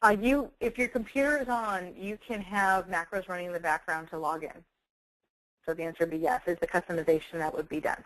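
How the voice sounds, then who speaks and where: A woman giving an explanatory software presentation in a measured, careful, professional way. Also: lowish voice, fairly even intonation, no echo, rough recording. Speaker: webinar presenter, software company representative.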